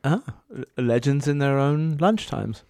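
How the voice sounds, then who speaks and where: A man presents songs on a radio show in a loose, chatty way, cracking deadpan jokes and pausing on fillers. His voice sits in an ordinary middle pitch. The sound is close and dry, with no room around it. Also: vocal fry, no echo. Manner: dry joking asides, hesitant fillers. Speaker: radio host. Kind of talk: casual radio chat between songs.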